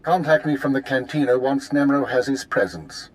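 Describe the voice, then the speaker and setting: An actor playing a cool, commanding intelligence spymaster in a video game, speaking formally and precisely with authority. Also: male voice, medium pitch, normal speed, natural voice, poor audio. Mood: instructing, stern. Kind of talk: video game cutscene acting.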